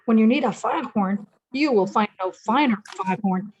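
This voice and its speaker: A woman's voice: on the high side, moderate pace, dull audio. A woman announcing and narrating a scripted old-time radio show in a presentational manner.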